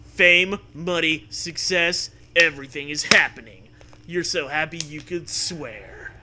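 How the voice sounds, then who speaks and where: A man's voice: on the high side, measured pace, noisy background. A man reading a comic adventure story aloud in a theatrical, amused way, slipping into casual joking. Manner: theatrical, amused, breaking into riffs and swearing. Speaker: host reading aloud a choose-your-own-adventure parody.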